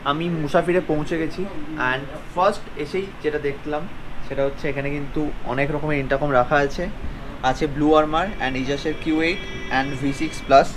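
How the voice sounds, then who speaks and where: A man's voice: fairly high pitch, steady tone, a little fast. A man with a Bengali accent talking casually to viewers as a vlogger, mixing in English words. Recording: clear vehicle and ambient noise.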